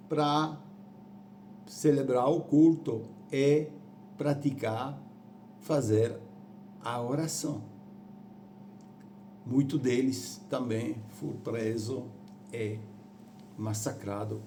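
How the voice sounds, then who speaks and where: Slow-speaking Italian man preaching. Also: medium pitch, warm tone, a little hiss or hum. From Rome, Italy. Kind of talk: sermon on church history.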